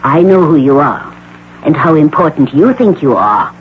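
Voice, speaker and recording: An actor playing a coldly courteous, unyielding doctor who directs a clinic, formal and superior in radio drama style. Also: male voice, fairly high pitch, sing-song, moderate pace, phone-quality sound. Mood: stern. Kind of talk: 1980 radio drama acting. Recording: thin, hissy radio broadcast with hum.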